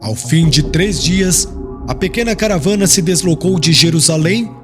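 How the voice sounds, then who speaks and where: A man's voice: a little high, moderate pace. A man with a Brazilian accent reading a literary audiobook in a formal, dramatic storytelling manner. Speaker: audiobook narrator. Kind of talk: scripted literary narration. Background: music.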